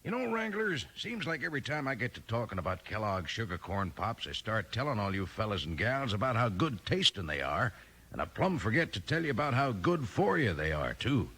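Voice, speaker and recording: A folksy cowboy salesman for a 1950s radio commercial. His low, husky voice swings up and down in lively, enthusiastic patter aimed at kids. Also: a little fast, heavily acted. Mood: upbeat, folksy. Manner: folksy, bouncy sales talk. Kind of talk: 1950s radio cereal advertisement.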